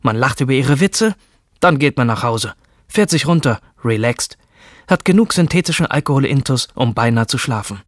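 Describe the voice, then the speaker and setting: A man's voice: mid-range voice, expressive, moderate pace, no room sound, clean studio sound, natural voice. An actor playing an obsessed, detached young man of a future elite, delivering a brooding, introspective monologue in a German radio drama. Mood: detached, wry.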